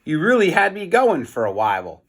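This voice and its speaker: Man voicing a cheeky, skeptical schoolboy, raised pitch. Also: wildly swooping pitch, normal speed, a bit bright, full character voice. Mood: skeptical teasing.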